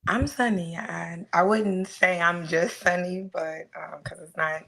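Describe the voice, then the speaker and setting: A woman speaks as an interview guest. Her storytelling is casual and reflective, with halting phrasing. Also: fairly low pitch, fairly even intonation, gravelly, pushed and bright. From South Side of Houston, United States.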